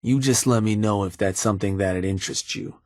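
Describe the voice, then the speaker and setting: Guy doing a low-voiced raider fixer, dry and matter-of-fact, game dialogue, dead dry studio sound. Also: a little fast, darkish tone, no echo, lightly acted. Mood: businesslike. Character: laid-back male raider fixer serving his boss. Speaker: gang fixer taking orders.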